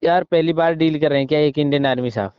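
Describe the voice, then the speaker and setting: Man, Indian accent, smooth clear voice, slightly high, insistent, repetitive, English words mixed in, muffled phone call. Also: steady tone, fast talker, no echo. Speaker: phone caller posing as a soldier buyer. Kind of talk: informal phone negotiation. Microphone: phone line.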